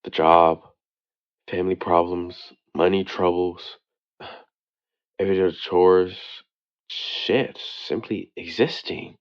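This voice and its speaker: A man playing a devoted boyfriend who leaves an intimate late-night voicemail, speaking earnestly and tenderly with hesitant pauses. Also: deep voice, steady tone, muffled recording. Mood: weary, sympathetic. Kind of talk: intimate boyfriend audio roleplay, slow monologue. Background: soft new-age music.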